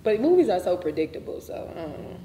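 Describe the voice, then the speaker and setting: An actress playing a brash, sarcastic young best friend in a web drama, blunt and expressive. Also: female voice, on the low side, fast talker, echoey room, slight background noise. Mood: teasing. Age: young adult. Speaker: actor in a web series. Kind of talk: naturalistic short-film acting.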